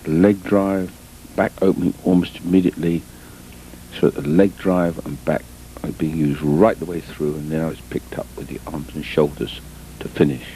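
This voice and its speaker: A man giving measured, deliberate instructional sports commentary as a coach, in short phrases broken by pauses, on an old VHS tape recording. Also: little pitch movement, dark tone. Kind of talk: instructional film commentary over footage. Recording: noisy, muffled old VHS tape, rumble.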